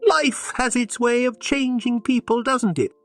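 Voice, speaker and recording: A man voicing a cool, self-assured grown woman with quiet defiance and a poised, coolly polite manner in a narrated story. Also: very high-pitched, a little slow, faint music behind, pitched above the performer's own voice. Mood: smirking.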